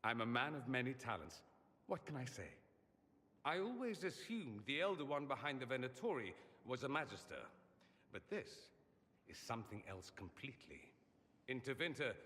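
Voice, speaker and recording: An actor playing a vain, witty mage in a fantasy video game, with an arch, sardonic and theatrical delivery. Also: male voice, mid-range voice, expressive, moderate pace, bright, forceful tone, big-room sound. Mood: wry, grave. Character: witty, vain mage from a fantasy empire, wryly self-aware. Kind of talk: dramatic video game dialogue.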